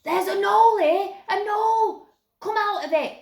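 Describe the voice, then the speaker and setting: A woman voicing a terrified young boy in a gang, shrill and wailing, reading a children's story aloud. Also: slow pace, echoey room. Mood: excited.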